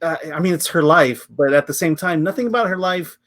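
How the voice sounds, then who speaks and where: A man's voice: fairly high pitch, fairly quick. A man hosting a film review show, talking casually with many fillers. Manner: relaxed, chatty, frequent fillers and laughs.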